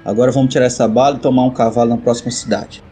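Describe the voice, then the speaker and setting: A man voicing a level-headed, practical bandit from the backlands in an audio drama, steady and folksy. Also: medium pitch, rapid-fire, soft background music, voice pushed up, lightly acted. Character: level-headed male bandit leading his wounded companion. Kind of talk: audio drama character acting.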